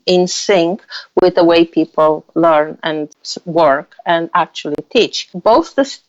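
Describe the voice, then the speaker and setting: A woman researcher from Romania answering interview questions, pausing with fillers as she searches for words. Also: on the low side. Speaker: researcher guest. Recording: dull lo-fi audio.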